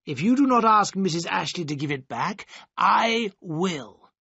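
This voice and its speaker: A man's voice: sits high, expressive, unhurried, vocal fry, bright, forceful tone, slightly rough, no echo, highs cut off, pitched above the performer's own voice, full character voice. A man voicing a stern, disapproving older godfather in a storybook reading. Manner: firm, disapproving.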